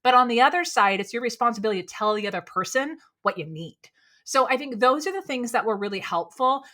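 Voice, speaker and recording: A woman with a low voice, a speaking coach talking candidly as a podcast guest, animated and quick with lots of "like". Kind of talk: candid conversational interview.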